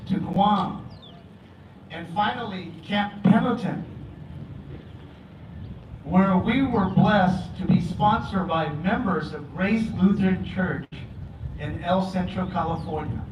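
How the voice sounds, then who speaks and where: A man in his fifties who grew up in Vietnam and speaks Vietnamese as his first language, giving an earnest, grateful speech at a memorial ceremony. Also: high-pitched. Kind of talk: prepared public speech. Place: aboard a museum ship, outdoors. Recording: echoey, distant, dull PA sound, noisy.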